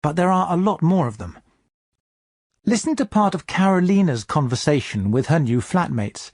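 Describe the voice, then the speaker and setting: A man giving a scripted English lesson as a teacher, explaining clearly step by step. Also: a little high, sing-song, moderate pace, darkish tone, dry recording, clean studio sound.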